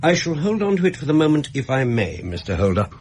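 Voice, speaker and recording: A man's voice: fairly high pitch, sing-song, fast talker, a little mellow, a little hiss or hum. An actor playing a lofty Victorian nobleman in a radio drama, polite but condescending and clipped. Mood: lofty, assured. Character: suave, lofty, commanding Victorian nobleman client.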